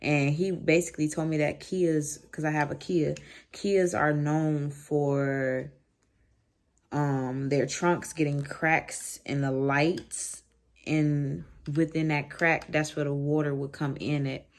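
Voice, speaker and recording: Low-pitched American woman, casual storytime. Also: slow pace. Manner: animated asides, slangy, conversational. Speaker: vlogger telling a story. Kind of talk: casual storytime chat to camera.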